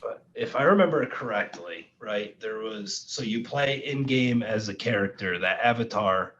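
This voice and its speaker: A man's voice: lowish voice, lively intonation, normal speed, a bit bright. A man co-hosting a podcast with blunt, jokey, profane banter. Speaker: podcast co-host. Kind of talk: casual banter. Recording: muffled, noisy lo-fi mic.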